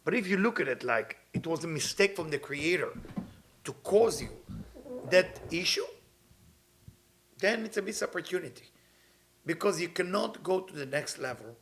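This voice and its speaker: A man's voice: high-pitched, expressive, normal speed, pauses often, fairly bright tone, slight background noise. Man, earnest spiritual teacher. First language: Hebrew. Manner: earnest, repeats phrases, non-native grammar. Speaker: kabbalah teacher. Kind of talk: weekly spiritual talk.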